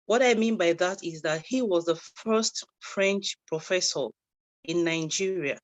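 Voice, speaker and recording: A woman's voice: on the low side, measured pace, dull audio. Woman asking a polite question on a video call, hesitant, dry sound no room echo. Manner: polite, hesitant, many fillers. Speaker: audience member asking a question. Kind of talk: academic question and answer.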